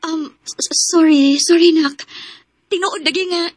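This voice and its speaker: A woman's voice: extremely high pitch, fairly even intonation, a little slow, no room sound, low-quality recording. An actress playing an anxious, clinging middle-aged mother in a radio drama, hesitant and plaintive as she speaks. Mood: apologetic, plaintive.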